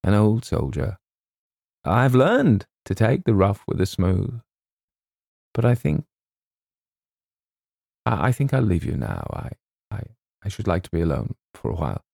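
A man's voice: fairly low pitch, wildly swooping pitch, measured pace, gravelly, pauses often, darkish tone, no echo, studio quality, heavily acted. Man playing a sentimental, faltering old English colonel. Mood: wistful, resigned. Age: older. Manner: hesitant, repeats himself, stumbles over names. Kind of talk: theatrical audiobook character voice.

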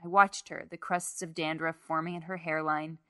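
A woman with a fairly low voice narrates first-person literary fiction. The recording is close and very dry, with no room sound. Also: level tone, normal speed, a bit bright, clear, resonant tone, dry recording. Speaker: short story reader.

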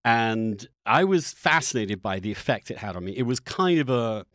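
A man hosting a conversational interview, speaking earnestly and haltingly as he circles back to rephrase his questions. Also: medium pitch, lively intonation, fairly bright tone, dry recording, clean studio sound. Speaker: podcast host and interviewer.

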